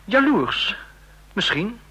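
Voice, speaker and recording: Jealous young agent, bright husky voice. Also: male voice, high-pitched, big pitch swings, takes it slowly, heavily acted. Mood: suspicious, jealous. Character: jealous, ambitious young male counter-espionage agent in love. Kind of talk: Dutch radio drama acting.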